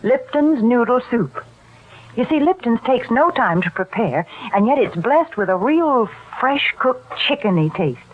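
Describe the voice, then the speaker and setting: A female radio announcer giving a vintage sponsor pitch. Her fairly high voice is very lively in intonation, chatty and polished. The recording is thin and phone-like, like an old broadcast. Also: a little slow, slightly rough. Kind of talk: 1940s radio advertising read.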